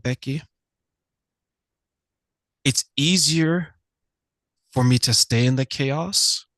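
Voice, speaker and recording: A man's voice: medium pitch, a bit bright, dry recording. A male podcast host asking long conversational questions and adding his own commentary.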